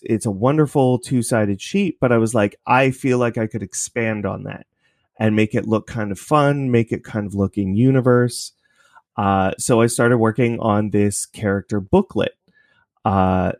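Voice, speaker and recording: Low-voiced man chatting as a guest. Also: darkish tone, no echo, studio quality. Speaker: interview guest, podcast creator. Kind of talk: casual interview chat.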